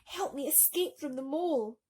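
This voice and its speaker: Woman voicing a high, pleading little girl. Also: normal speed, a little mellow, close to an everyday voice. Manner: imploring, emotional. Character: small pleading tearful girl, sweet and anxious.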